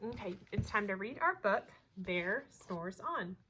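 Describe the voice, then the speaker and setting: A woman's voice: mid-range voice, a little slow, slight background noise. A woman teaching young children, reading a picture book expressively and talking to them with questions. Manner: asks listeners questions, animated storytelling delivery.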